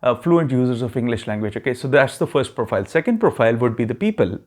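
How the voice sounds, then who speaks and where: A man's voice: medium pitch, expressive, quick pace. Man explaining, peppered with "okay?". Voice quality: non-native English speaker, self-described neutral accent. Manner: frequent "okay?" check-ins, fillers and restarts. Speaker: YouTube channel host giving immigration advice. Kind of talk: casual explanatory talk to viewers.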